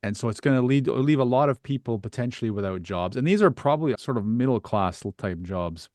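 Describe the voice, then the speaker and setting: A man's voice: medium pitch, lively intonation, brisk, a little mellow, no echo. A man hosting an interview podcast, talking conversationally and thinking aloud in a discursive, hedging way.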